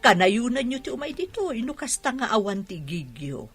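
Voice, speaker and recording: A woman's voice: fairly high pitch, sing-song, normal speed, faint music behind. A woman with an Ilocano Filipino accent playing a hospitable older village mother in a radio drama. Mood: welcoming.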